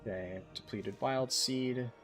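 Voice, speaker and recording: A man's voice: mid-range voice, slow, fairly bright tone, soft background music. A man live-streaming a game, chatting with viewers in a casual way with lots of ums and likes. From United States. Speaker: livestreaming gamer.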